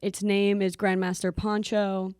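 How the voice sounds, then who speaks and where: A chatty young woman co-hosting a podcast, her voice mid-pitched for a woman and recorded close and dry. She talks casually, tossing in quick asides and laughing often. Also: flat delivery, darkish tone, smooth, no echo. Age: young adult. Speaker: podcast co-host. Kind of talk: casual chat.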